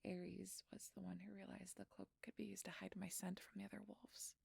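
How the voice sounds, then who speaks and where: A woman playing a frightened, guilt-ridden changeling fugitive in an intimate fantasy audio roleplay, with a halting, emotional delivery. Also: medium pitch, flat delivery, vocal fry, darkish tone, slightly rough, no room sound, heavily acted. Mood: hushed, remorseful.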